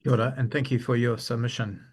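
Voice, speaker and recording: A man chairing a parliamentary committee hearing, briskly moving between submitters. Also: mid-range voice, level tone, breathy edge. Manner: brisk, procedural.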